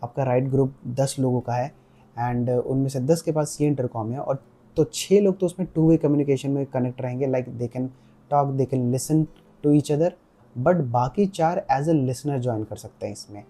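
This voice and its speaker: A man's voice: medium pitch, moderate pace, mellow. A man with an Indian accent giving a casual product review, explaining step by step and mixing Hindi with English. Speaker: motorcycle gear reviewer.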